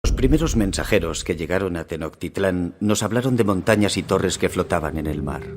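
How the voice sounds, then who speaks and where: A man with a Castilian Spanish accent playing a grave Aztec jaguar warrior, narrating his campaigns in a measured way for a strategy game cutscene. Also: low-pitched, lively intonation. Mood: grave, foreboding. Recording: music under the voice. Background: music.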